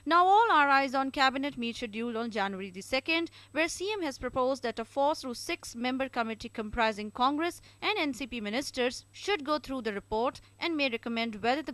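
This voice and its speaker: A woman's voice: high-pitched, a bit bright, no echo. A woman with an Indian accent reading a scripted television news report as a reporter.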